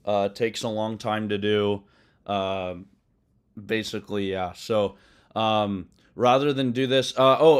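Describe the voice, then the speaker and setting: A man hosting a live coding stream, talking loosely with many ums and uhs and correcting himself as he goes, his voice a bit hoarse. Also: mid-range voice. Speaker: livestream host coding a trading tool. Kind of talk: casual live-stream explanation.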